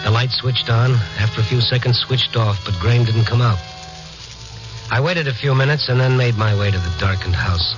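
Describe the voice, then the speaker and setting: An actor playing a steady, probing investigator who also narrates, in a terse 1950s radio noir style. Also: male voice, mid-range voice, normal speed, music in the background, natural voice. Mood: suspenseful narration. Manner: questioning, terse. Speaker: lead character and narrator. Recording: muffled 1950s radio recording.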